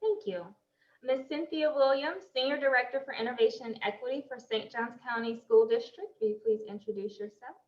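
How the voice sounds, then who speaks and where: Moderator, female, fairly high voice, echoey and muffled online audio, friendly and procedural. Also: brisk.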